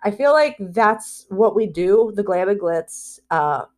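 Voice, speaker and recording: A woman talking candidly in a personal spiritual vlog, rambling and heartfelt, with fillers, restarts and soft laughs between phrases. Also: medium pitch, animated, takes it slowly. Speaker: spiritual vlogger.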